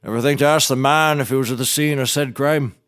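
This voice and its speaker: A man's voice: medium pitch, fairly even intonation, quick pace, a bit bright, smooth, natural voice. An actor playing a bumbling maintenance man turned lawyer in a scripted comedy, folksy and mock-formal, wandering off the point. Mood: unbothered. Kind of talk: scripted comedy courtroom drama acting.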